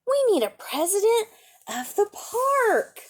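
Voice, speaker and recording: A woman's voice: very high-pitched, big pitch swings, takes it slowly, voice pushed up, exaggerated voice. A woman voicing an eager, kind young girl from a storybook, speaking earnestly.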